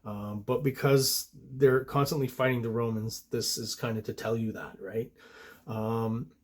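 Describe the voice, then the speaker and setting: A man from Quebec, a native French speaker, hosting a relaxed comic book review with rambling asides and lots of fillers. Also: medium pitch, a little slow. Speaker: host and comic artist.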